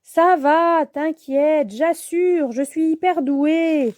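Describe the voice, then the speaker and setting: A woman voicing a boastful, excitable little boy cat superhero in a children's storybook. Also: high-pitched, drawn-out words, no room sound, clean studio sound, voice pushed up. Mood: cocky.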